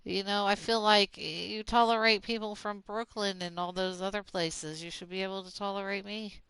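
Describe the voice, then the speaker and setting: A streamer from Oklahoma with a Southern accent, rambling in fast, casual talk with plenty of fillers while playing a game. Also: mid-range voice, steady tone, fairly bright tone, clean tone, no room sound, poor audio. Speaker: gaming streamer.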